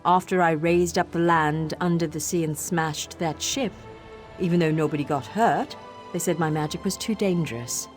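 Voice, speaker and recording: A woman's voice: deep voice, normal speed, soft background music, close to an everyday voice. An actress voicing an earnest, sheltered young elf woman in a fantasy game, emotionally open and dramatic. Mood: wounded, hesitant.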